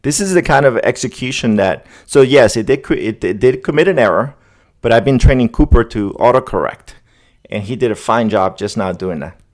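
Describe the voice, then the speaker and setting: A man's voice: medium pitch, lively intonation, a little fast. Casual man presenting a tech demo. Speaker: host demonstrating an AI agent setup. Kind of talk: casual screen-demo narration.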